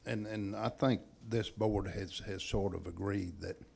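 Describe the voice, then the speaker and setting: Deep gravelly man, halting. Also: fairly even intonation, a little mellow, a little hiss or hum. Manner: halting, repeats words. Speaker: school board member. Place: school board meeting room.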